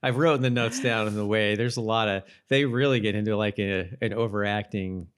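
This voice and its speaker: A man co-hosting a podcast, chatting casually about TV in a halting, informal way. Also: lowish voice, fairly even intonation, clean tone. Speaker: podcast co-host. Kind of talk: casual chat about a TV episode.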